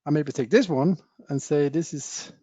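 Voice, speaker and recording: Man giving a software demo. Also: on the high side, moderate pace, husky, no room sound. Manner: plain explanatory talk with frequent uh fillers, non-native phrasing. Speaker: software presenter. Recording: dull dry webinar audio.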